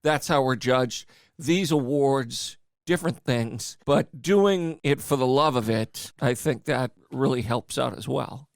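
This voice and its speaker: A man hosting an interview, chatting casually and dropping quick jokes. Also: medium pitch, gravelly, dry recording, studio quality. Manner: joking, throws in quick quips. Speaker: host.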